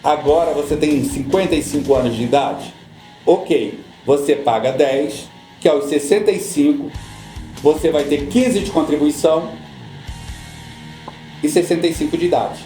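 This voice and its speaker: A middle-aged Brazilian man, a guest on a podcast, who explains pension rules patiently and often repeats his points to calm the listener. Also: fairly high pitch, moderate pace, echoey room, soft background music. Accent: Brazilian Portuguese. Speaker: social security law guest.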